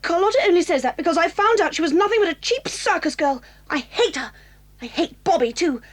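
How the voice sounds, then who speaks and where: A woman's voice: very high voice, lively intonation, fairly quick, dry recording. A spiteful whiny schoolgirl. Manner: whiny, resentful. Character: spiteful whining schoolgirl, teenage. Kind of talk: 1980s audio drama acting.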